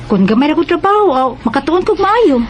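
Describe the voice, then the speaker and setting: An actress playing a grateful, eager nineteen-year-old working student daughter in a radio drama. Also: female voice, high voice, sing-song, a little fast, lo-fi sound, slight background noise. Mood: hopeful, earnest. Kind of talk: Cebuano radio drama acting.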